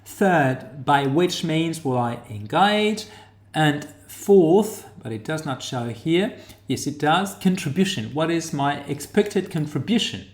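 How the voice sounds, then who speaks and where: A man with a New Zealand accent talks students through his method in a slow, unhurried lecture. He stops often to search for words, explaining as he goes. His voice carries a noticeable echo from the room. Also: a little high, expressive. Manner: halting, pauses to find words, explanatory. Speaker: academic lecturer. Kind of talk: screen-share tutorial lecture.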